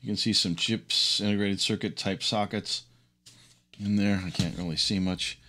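A man's voice: on the low side, steady tone, normal speed, bright, forceful tone. A middle-aged man giving casual, unscripted hands-on commentary as a hobbyist host, rambling along with enthusiasm. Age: middle-aged or older. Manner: rambling, enthusiastic, chuckles often. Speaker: hobbyist host of a teardown video. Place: indoors at a workbench.

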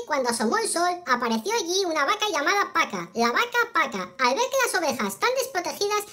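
A performer voicing a cute little kitten who tells a children's story in a friendly, expressive, childlike way. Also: very high voice, heavily acted. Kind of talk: children's storytelling, scripted narration.

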